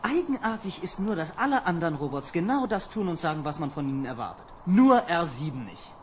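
A man's voice: fairly high pitch, sing-song, a little fast, slight background noise. A man playing an exasperated, sardonic space lieutenant who bosses his crew in an old children's radio play. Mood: irritated, sardonic. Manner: sardonic, bossy. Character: exasperated young space lieutenant commanding a landing boat.

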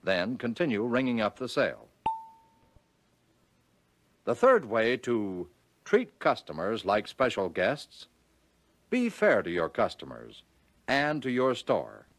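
An American man reading scripted narration for a 1940s instructional film, giving key phrases measured, instructive emphasis. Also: mid-range voice, huge pitch swings.

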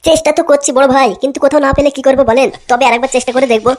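A woman voicing excitable, foul-mouthed cartoon gangsters, blustering and repeating phrases in a comic dubbing style. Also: high voice, racing, close to an everyday voice. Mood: deferential.

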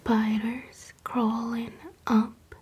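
A woman's voice: on the high side, flat delivery, drawn-out words, noisy background. A woman whispering playfully as an ASMR creator in a small decorated room indoors.

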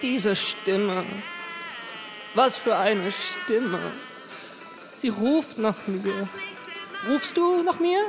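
A woman's voice: medium pitch, expressive, very slow, long pauses, music in the background. A woman acting a tormented, yearning soul in a radio play, pleading and repeating herself dramatically.

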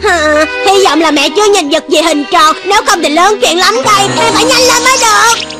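Very high-pitched childlike robot cat. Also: female voice, fairly quick. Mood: anxious, panicked. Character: childlike robot cat, excitable, anxious friend. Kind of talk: Vietnamese cartoon dubbing. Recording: music behind the voice.

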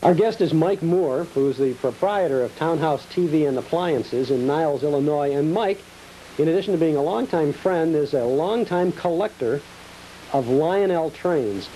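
Mellow American man hosting a show. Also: on the high side, expressive, moderate pace, a little hiss or hum, rough recording. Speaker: TV show host and interviewer. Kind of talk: presenting and interviewing.

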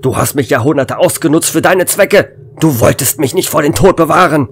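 A slightly high male voice acted by a man as a weary, desperate space station officer in a sci-fi audio drama. His phrases are clipped and urgent, over faint noise. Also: fairly quick, vocal fry.

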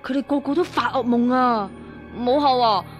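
An actress playing a spoiled young princess who wheedles and pouts, in Hong Kong Cantonese radio drama style. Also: female voice, on the high side, normal speed, faint music behind, close to an everyday voice. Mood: childish, insistent. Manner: whiny, pouty, coaxing.

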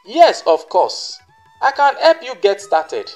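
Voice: medium pitch, very animated, takes it slowly, faint music behind, pitched above the performer's own voice. A narrator voicing a reassuring, scheming madam. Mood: encouraging. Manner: reassuring, persuasive. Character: smooth-talking, scheming madam luring a young woman.

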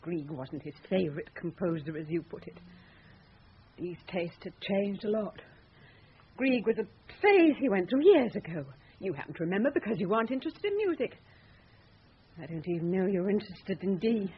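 A woman's voice: medium pitch, very animated, normal speed, a little hiss or hum, close to an everyday voice. A woman acting in a radio drama as a scornful, exasperated wife with a mocking, cutting manner. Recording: tinny, band-limited radio drama recording.

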